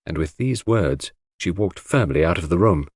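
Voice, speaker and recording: A man narrates an audiobook in a dramatic, scripted style. His voice sits a little low and moves expressively up and down, recorded dry and close. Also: lively intonation, normal speed, a little mellow, breathy edge, no room sound.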